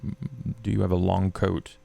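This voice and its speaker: Deep, creaky, formal-spoken man. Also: monotone, dark tone. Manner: formal, measured wording. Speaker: tabletop roleplaying player. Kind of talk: in-character tabletop roleplay and planning.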